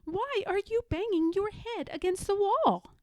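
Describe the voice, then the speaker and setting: A woman's voice: extremely high pitch, expressive, measured pace, warm tone, no room sound, voice pushed up. A woman voicing a mild, curious frog in a children's storybook, with a lilting, inquisitive delivery. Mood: puzzled. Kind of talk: children's storybook read-aloud character voice.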